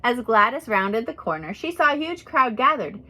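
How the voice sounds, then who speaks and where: A woman reading a biographical storybook aloud as scripted narration, in an expressive storytelling manner. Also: on the high side, normal speed. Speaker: audiobook reader.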